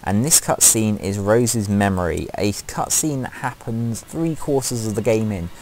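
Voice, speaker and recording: A man's voice: fairly low pitch, unhurried, slight background noise, low-quality recording. A man giving scripted opinion commentary as the presenter of a video game countdown. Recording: music behind the voice. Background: loud music.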